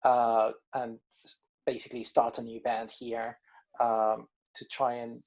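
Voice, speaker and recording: Man, hesitant and halting. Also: medium pitch, monotone, darkish tone. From Caribbean coast of Colombia. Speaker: band musician being interviewed. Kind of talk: casual interview chat.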